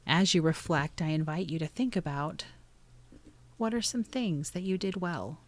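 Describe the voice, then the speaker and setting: A woman narrating a guided meditation in a soothing, gently instructive way, pausing between short phrases. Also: lowish voice, no room sound.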